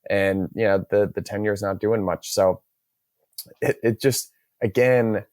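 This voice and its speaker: A man hosting a podcast, talking through market ideas in a measured, hedging way. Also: fairly low pitch, fairly even intonation, a little mellow, no echo, studio quality. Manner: measured, hedging, thinks aloud. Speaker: podcast host. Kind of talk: casual market chat.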